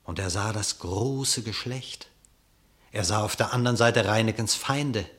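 Male narrator, radio play, lowish voice, solemn verse reading. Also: unhurried, fairly bright tone.

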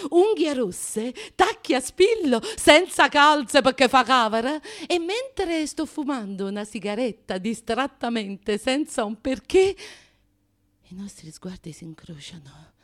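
A high, female Neapolitan voice carries a radio play monologue. She is a lovelorn, neurotic dreamer who confides in the listener with histrionic flair, moving between intimate murmurs and big theatrical outcries. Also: fairly bright tone. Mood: dreamy, longing. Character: lovelorn, neurotic, melodramatic Neapolitan woman daydreaming of romance.

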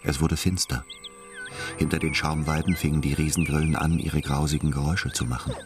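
A man's voice: rumbling low, steady tone, fairly quick, music in the background. A man narrating a radio drama as a grave storyteller, in a scripted, dramatic style. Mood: grave, storytelling. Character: grave storyteller of a science-fiction saga.

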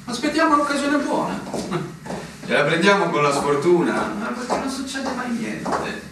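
An actor playing a brooding, world-weary army officer in a theatrical radio drama, solemn and declamatory with slow dramatic pauses. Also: male voice, very high-pitched, big pitch swings, far from the mic, background noise. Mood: weary, resigned. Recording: echoey stage recording from afar, music behind.

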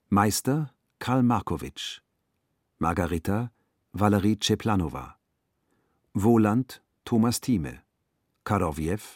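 Male announcer for radio play credits: very low, slow, formal, matter-of-fact delivery. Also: a little mellow, no room sound, clean studio sound. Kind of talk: scripted broadcast credits.

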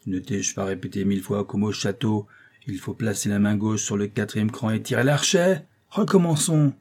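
A man's voice: fairly low pitch, normal speed, a little mellow, slightly rough. A man playing a harsh, barking violin teacher. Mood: angry, threatening. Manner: barking orders, scolding, threatening. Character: harsh, menacing violin teacher, thin man around thirty.

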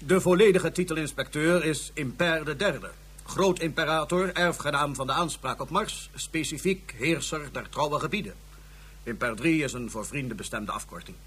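A man's voice: fairly high pitch, normal speed, a bit bright, husky. An actor playing a haughty male Martian ruler, speaking in a formal, condescending way. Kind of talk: radio drama acting.

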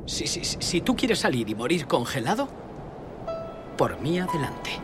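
A man's voice: on the high side, sing-song, normal speed, music in the background. A man playing a hurt, sarcastic monster sidekick in a children's audio story, indignant and repeating himself. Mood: wounded sarcasm.

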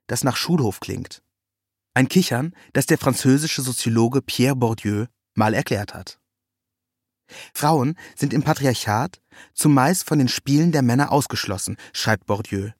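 A man with a mid-pitched voice reads a first-person literary narrative. His delivery is measured and ironic, and the recording is dry, with very little room sound. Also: no room sound.

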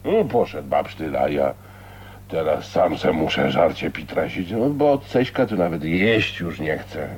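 An actor playing a gruff, foul-mouthed old working man in a radio drama, grumbling earthily in rustic Polish dialect. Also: extremely low pitch, sing-song, unhurried, a little mellow, exaggerated voice.

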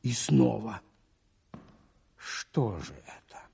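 An actor playing a thoughtful, observant priest detective in a radio play, musing aloud with a husky, mellow voice. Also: male voice, on the low side, expressive, very slow, exaggerated voice. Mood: puzzled, musing. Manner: musing, questioning himself aloud. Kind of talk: radio play narration and monologue.

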